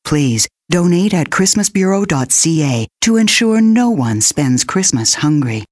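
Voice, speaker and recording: A man's voice: a little high, sing-song, measured pace. A man reading a scripted charity appeal as an announcer.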